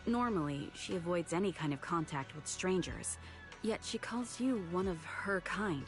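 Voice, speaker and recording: A woman's voice: on the low side, lively intonation, moderate pace, faint music behind. A woman voicing a serious, polite young soldier in an anime game. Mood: earnest, apologetic.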